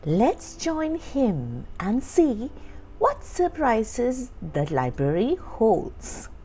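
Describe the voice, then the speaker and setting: A woman's voice: fairly high pitch, huge pitch swings, extremely slow, dark tone. A woman reading a children's storybook aloud, telling it expressively and stressing key words for young listeners. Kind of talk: scripted read-aloud narration for young children. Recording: music under the voice. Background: background music.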